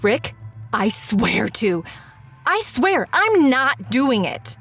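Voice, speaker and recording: An excitable woman writer in an audio drama, high-voiced and unhurried, with music behind her. She mutters and hesitates in exasperation, and her pitch swings widely. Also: husky, full character voice. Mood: insistent. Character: frazzled, excitable woman radio-script writer hunting for ideas.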